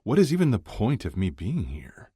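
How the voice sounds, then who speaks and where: Audiobook friend role, male narrator acting: outspoken teasing woman, exasperated, raised pitch, dry sound. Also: wildly swooping pitch, moderate pace, warm tone, breathy edge, no room sound, heavily acted.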